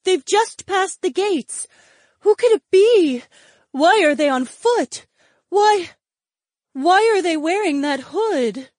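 A man narrating a storybook, voicing Daisy, a young bear girl who is scared but stubborn, in a high, earnest voice. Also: animated, takes it slowly, dry recording, studio quality, exaggerated voice. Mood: fearful.

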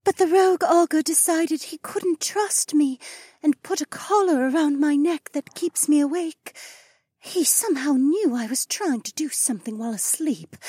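A faint, weary young oryx woman calling from far away, as a male storyteller puts her on. Her voice sits very high and breathy-soft, strained and close to tears. It has the hushed, fading delivery of a storybook captive. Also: no room sound.

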